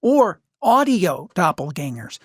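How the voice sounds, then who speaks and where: Higher-voiced man hosting a podcast. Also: big pitch swings, slow, a bit bright, husky, no echo, clean studio sound. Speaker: podcast host. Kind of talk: casual conversational storytelling.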